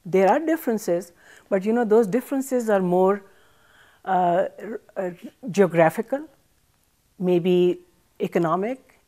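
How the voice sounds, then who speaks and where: A woman's voice: medium pitch, mellow. An older woman from Pakistan, a chef and author, answering interview questions thoughtfully with frequent fillers, on a studio set with a lapel mic. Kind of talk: interview conversation. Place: studio set with armchairs and plants.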